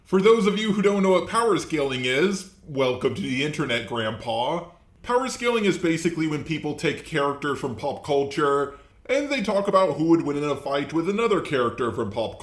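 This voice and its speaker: Man commenting in a roomy, echoing space. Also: a little high. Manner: blunt, casual, swears. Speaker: host, commentator. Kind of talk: informal scripted video commentary.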